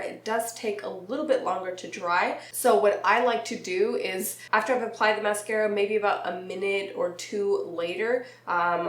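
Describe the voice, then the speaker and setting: A young woman vlogging casually about beauty, chatty and laughing mid-sentence, her voice a little nasal and raspy from a recent illness. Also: medium pitch, echoey room. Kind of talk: casual talk to camera.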